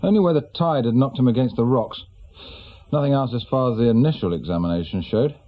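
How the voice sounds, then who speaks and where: Man as senior English detective for radio play: methodical, authoritative, mid-pitched, slightly dull recording. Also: expressive, close to an everyday voice. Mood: matter-of-fact. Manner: measured, authoritative, deliberate. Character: brisk, methodical senior police inspector reasoning out a case. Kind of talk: naturalistic British radio drama acting.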